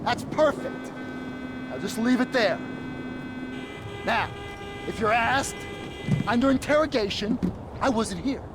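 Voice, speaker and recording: A man's voice: very high-pitched, animated, takes it slowly, long pauses, noisy background, full character voice. A man playing a jittery, sardonic criminal associate in a video game. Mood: wary, sardonic. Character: jittery, self-protecting male criminal associate. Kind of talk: video game cutscene acting. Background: music.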